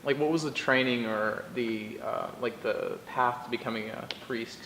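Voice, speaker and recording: A man asking questions in an interview, hesitating and filling pauses as he goes. His pitch is ordinary for a man. The room sounds roomy, with a long reverb and a faint background hum. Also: a bit bright. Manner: hesitant questions with many fillers.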